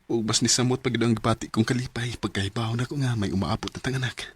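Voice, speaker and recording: A devoted young man from a Cebuano radio play, voiced by an actor. His medium-pitched voice is husky, and he speaks earnestly and from the heart as a son and soon-to-be father. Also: steady tone, quick pace, close to an everyday voice. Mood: loving. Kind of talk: radio drama acting.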